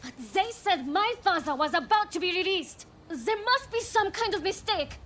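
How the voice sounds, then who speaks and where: A woman's voice: extremely high pitch, expressive, moderate pace, fairly bright tone, soft background music. A woman playing a headstrong, spirited young woman in a video game cutscene. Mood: distressed. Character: headstrong, anxious young woman, a doctor's daughter.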